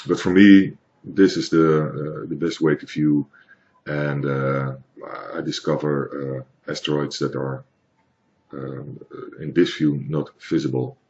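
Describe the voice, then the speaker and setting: A man from the Netherlands with a Dutch accent, explaining software in a hesitant, plain tutorial with many fillers. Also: extremely low pitch, fairly even intonation, slow, muffled recording. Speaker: tutorial maker. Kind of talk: screen-recorded software tutorial.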